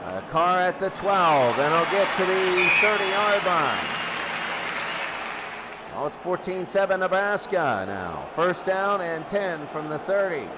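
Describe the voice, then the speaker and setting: A man's voice: sits high, very animated, slow, very noisy. A man doing live football play-by-play in short, repetitive sentences, as the announcer. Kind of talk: live play-by-play sports commentary.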